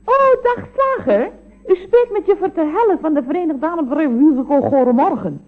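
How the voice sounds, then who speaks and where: Chatty, cheeky secretary, swooping pitch. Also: female voice, big pitch swings, moderate pace. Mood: mock-polite, wry. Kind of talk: Dutch radio comedy acting. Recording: dull vintage radio recording.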